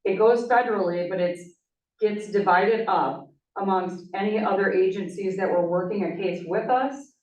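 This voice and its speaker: A woman in law enforcement speaking at a public meeting, brisk and direct, often checking in with the audience. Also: medium pitch, a little mellow. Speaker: law enforcement official presenting. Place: large town meeting hall. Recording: echoey meeting hall, far mic, dull.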